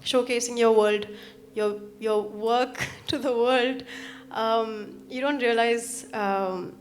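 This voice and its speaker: Soft-spoken young singer-songwriter from Sikkim. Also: female voice, a little high, steady tone, slow pace, slight background noise. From Sikkim, India. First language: Nepali. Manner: earnest, hesitant, many ums and restarts. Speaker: singer-songwriter performing live. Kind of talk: talking to the audience between songs. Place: stage at a live arts event.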